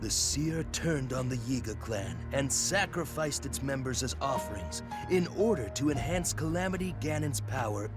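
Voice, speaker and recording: A man narrating a video game story in a formal, solemn, scripted manner with measured phrasing. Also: mid-range voice, close to an everyday voice. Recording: music behind the narration.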